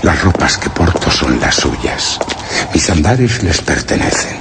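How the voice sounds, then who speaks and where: Very deep-voiced, grave explorer. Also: male voice, sing-song, slow pace, creaky, heavy background noise, exaggerated voice. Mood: observant, grave. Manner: grave, formal, literary delivery. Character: anguished male explorer hiding among a foreign people, solemn and secretive. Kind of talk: radio drama first-person narration of suspense and horror.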